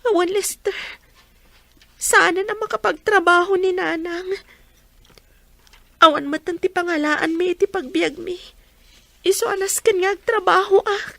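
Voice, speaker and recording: A woman's voice: extremely high pitch, measured pace, gravelly, long pauses, bright, forceful tone, no echo, full character voice. A woman playing a young student daughter, earnest and pleading as she talks to her blinded mother. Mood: downcast. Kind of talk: radio drama acting.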